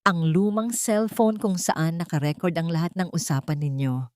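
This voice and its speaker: A woman's voice: lowish voice, moderate pace, a little mellow, dry recording, studio quality, lightly acted. A woman with a Filipino accent voicing a brave, desperate housemaid, firm and earnest, in an audiobook melodrama. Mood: firm, pleading. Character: brave, desperate housemaid pleading for children's lives.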